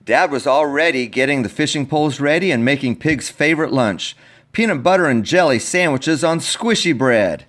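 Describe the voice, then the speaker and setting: A man reading a picture book aloud in an expressive, playful way, talking directly to young listeners. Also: a little high, normal speed. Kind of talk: animated children's storybook read-aloud.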